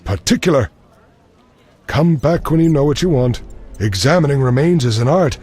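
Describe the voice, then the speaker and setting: A morbid undertaker in a fantasy game. His voice is mid-pitched, sly and blasé, with a mercenary air. Music plays behind him. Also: expressive, moderate pace, darkish tone, husky. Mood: evasive, blasé. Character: shady, morbid male undertaker, mercenary and fond of drink. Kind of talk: video game voice acting.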